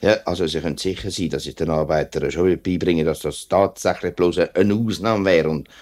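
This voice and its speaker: An upright, middle-aged male workers' leader in a 1950s radio drama. His voice is deep, with a Swiss German accent, and he speaks earnestly and respectfully, feeling his way through sentences. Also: brisk, a little mellow. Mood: earnest pleading. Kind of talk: 1950s radio play acting.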